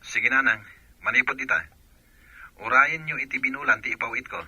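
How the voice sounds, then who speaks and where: Forceful, raspy hot-tempered Filipino soldier. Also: male voice, medium pitch, a little fast, dry recording, low-quality recording. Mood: indignant, dutiful. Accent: Ilocano Filipino. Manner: forceful, accusing. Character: jealous hot-tempered soldier, accusing husband and doting son. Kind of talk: radio drama acting.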